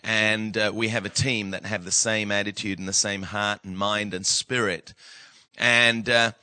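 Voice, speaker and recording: A man with a booming voice speaks as a visiting pastor. His tone is very bright and crisp, a little low in pitch, and dry with no room sound. He talks plainly to the staff. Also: little pitch movement, unhurried, dry recording. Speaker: guest pastor. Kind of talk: church talk.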